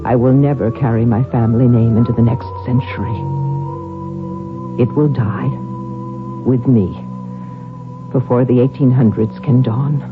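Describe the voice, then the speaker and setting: An actor playing the resigned last heir of a doomed noble family, solemnly recounting the past in a radio drama. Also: male voice, medium pitch, fairly even intonation, unhurried, long pauses, natural voice. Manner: solemn, reflective. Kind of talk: 1970s radio drama narration. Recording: dull old radio recording. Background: clear music.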